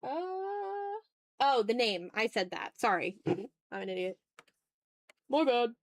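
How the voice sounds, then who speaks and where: A woman with a fairly high voice hosts an art livestream. She rambles casually, addressing chat as she works. The sound is very dry and close, with hardly any room in it. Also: expressive, slow pace, no room sound. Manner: informal, rambling, talking to chat. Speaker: art streamer and ASMR YouTuber hosting a livestream.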